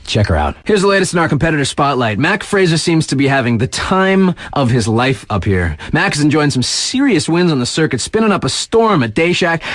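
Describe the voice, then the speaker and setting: A man's voice: mid-range voice, dry recording, studio quality, natural voice. A man playing a hip, hyped radio DJ in a video game, giving slangy, conversational sports spotlights with a cool showman's manner. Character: hip, hyped male radio DJ covering snowboard competitions.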